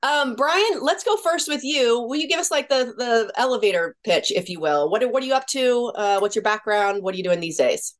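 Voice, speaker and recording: A woman's voice: on the high side, animated, a little fast, hardly any pauses. A middle-aged woman hosting a podcast interview, talking with casual enthusiasm and a stuffy nose from a cold. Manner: enthusiastic, casual, swears freely. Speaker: podcast host and mindset coach.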